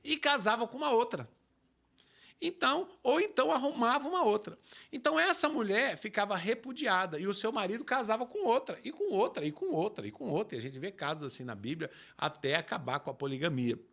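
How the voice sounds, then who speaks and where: Man, pastor, emphatic, high voice, very animated pitch. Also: fairly quick, creaky. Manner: emphatic, lively preacher explaining scripture. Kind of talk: preaching in a talk show.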